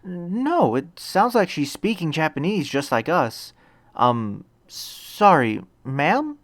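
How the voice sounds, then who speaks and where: A man's voice: fairly high pitch, very animated, takes it slowly, fairly bright tone, no room sound, voice pushed up, exaggerated voice. A man voicing an earnest, polite, stammering teenage boy hero in training in a narrated story. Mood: anxious, polite. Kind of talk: acted audiobook dialogue.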